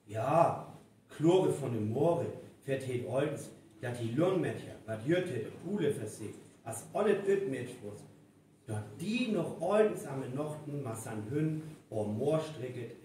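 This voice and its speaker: A man's voice: medium pitch, mellow, recorded from a distance. A man with a North Frisian accent playing an agitated figure in a spooky live radio play. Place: reverberant venue with live audience, distant microphone. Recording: roomy live stage recording, faint noise.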